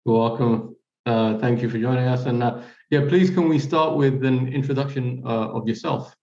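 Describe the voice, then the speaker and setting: A man from Somalia hosting an interview over a video call, speaking in a measured, courteous way with frequent pauses. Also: medium pitch, steady tone, a little mellow. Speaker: host. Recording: roomy, dull lo-fi audio.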